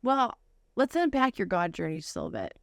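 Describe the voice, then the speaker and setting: A woman's voice: fairly high pitch, sing-song, fairly quick, no echo, clean studio sound. A woman hosting a casual interview, chatty and informal, often affirming what she hears. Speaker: interviewer, host.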